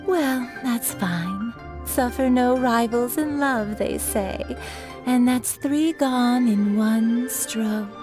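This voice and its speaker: A woman playing a wistful, coquettish, genteel townswoman in a video game, musing in a drawn-out way. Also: on the high side. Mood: wistful resignation. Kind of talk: video game character acting. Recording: background music throughout.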